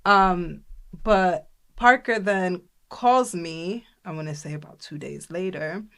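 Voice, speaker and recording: A woman's voice: lowish voice, slow, clean tone. Young woman telling a story with voices. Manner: animated storyteller acting out quoted dialogue. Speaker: podcast co-host. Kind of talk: casual storytelling chat.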